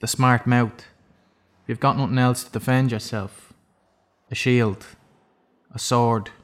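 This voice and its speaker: Male actor as young convict, mid-range voice, sardonic, halting, awkwardly sorry, audio drama. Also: mid-range voice, steady tone, natural voice. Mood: defensive, awkward. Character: defensive, sardonic young prisoner, awkwardly apologetic.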